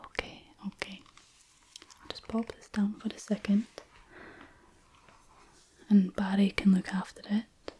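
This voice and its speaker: A woman softly whispering a beginner Chinese lesson as a study buddy, pronouncing each word slowly and carefully on a close mic. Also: mid-range voice, level tone, a little hiss or hum. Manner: soft whisper, slow careful pronunciation. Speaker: language tutor, study buddy.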